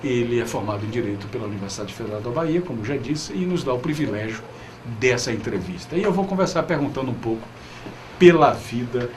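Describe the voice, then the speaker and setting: A man's voice: mid-range voice, lively intonation, fast talker, darkish tone. Brazilian man hosting an interview, noisy background. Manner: courteous, interjects affirmations. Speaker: TV interview show host. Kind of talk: interview, spontaneous studio talk. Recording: clear background noise.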